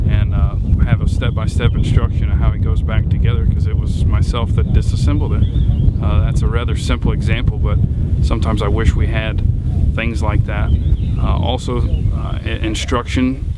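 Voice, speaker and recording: Young farmer, flat speech, loud wind. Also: male voice. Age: young adult. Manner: hesitant, many fillers. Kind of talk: casual interview answers. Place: outdoors on a farm by a grain bin. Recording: loud wind rumble outdoors.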